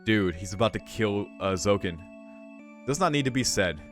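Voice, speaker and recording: A man reading a visual novel's story aloud for a let's play, breaking into casual, excitable reactions with some swearing. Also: lowish voice, moderate pace. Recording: music behind the voice.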